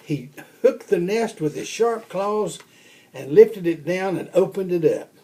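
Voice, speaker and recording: An older man reading a children's story aloud in an expressive storytelling manner. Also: high voice, measured pace. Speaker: storybook reader.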